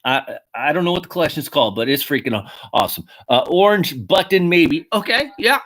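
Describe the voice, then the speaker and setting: A man in his thirties or forties chats casually and excitedly, his voice bright and fairly high. He swears offhandedly and keeps calling things awesome, like a collector vlogging his latest finds. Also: brisk. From Mexican heritage. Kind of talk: casual unboxing chat.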